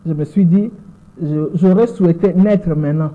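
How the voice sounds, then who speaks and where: A Congolese woman in her sixties answers an interviewer's questions in French with a Congolese accent. She talks in a measured way, repeating words as she searches for them. The sound is thin and boxy, like a voice over a phone line. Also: on the low side. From Democratic Republic of the Congo. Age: 60s. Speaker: interview guest, lawyer.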